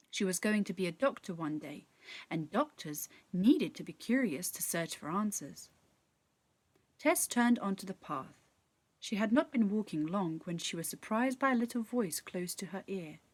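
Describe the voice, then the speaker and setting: A woman narrating a children's story in an expressive, measured, dramatic storytelling style. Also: mid-range voice, no echo. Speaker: actor reading a story.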